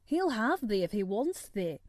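A woman's voice: mid-range voice, big pitch swings, measured pace, a little mellow, dry recording, clean studio sound. Woman as a humble housemaid. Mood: homely, puzzled. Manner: deferential, dialect-speaking. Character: humble, anxious young Yorkshire housemaid.

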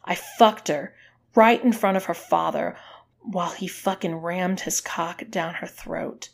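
A woman's voice: fairly low pitch, a little slow, gravelly. A woman voicing a guilt-ridden, submissive man in an audiobook story, speaking with agitated intensity. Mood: anguished, bitter.

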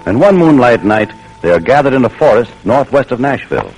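Animated vintage American radio announcer over music. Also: male voice, medium pitch, fairly quick, low-quality recording. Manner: formal, dramatic delivery. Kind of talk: 1940s radio announcing, scripted narration. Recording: old radio broadcast recording.

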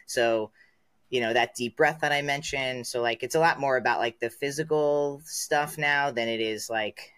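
A man's voice: medium pitch, normal speed, fairly bright tone, clean tone, dry recording. A man in his thirties, a podcast guest chatting casually and rambling with many "like" fillers. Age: 30s. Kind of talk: casual chat.

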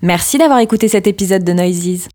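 A woman's voice: medium pitch, lively intonation, brisk, mellow. A woman presenting a podcast, reading a scripted outro and credits.

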